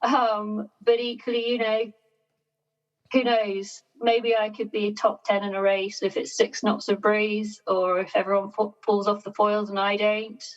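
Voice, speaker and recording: A woman being interviewed on a sailing podcast, talking in a measured, self-deprecating way and laughing often. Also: mid-range voice, level tone. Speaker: sailing guest. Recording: dull lo-fi sound.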